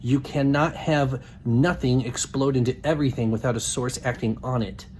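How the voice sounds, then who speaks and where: A man's voice: mid-range voice, steady tone, normal speed, darkish tone, a little hiss or hum. A man hosting a debate, speaking in an emphatic, challenging, argumentative way. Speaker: show host debating.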